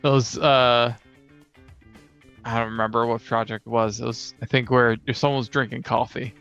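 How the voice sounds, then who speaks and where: A man's voice: medium pitch, level tone, moderate pace, vocal fry, a bit bright, clean tone, dry recording, soft background music. A man co-hosting a podcast in casual chat, easygoing and understated, on a slightly dull-sounding recording. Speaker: podcast co-host.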